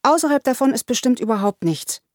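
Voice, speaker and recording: A woman's voice: on the high side, lively intonation, fairly quick, no room sound, clean studio sound, pitched above the performer's own voice, natural voice. A woman playing a cool, sober young female student in an audiobook, speaking in a curt, earnest way. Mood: earnest, emphatic. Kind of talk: audiobook character acting.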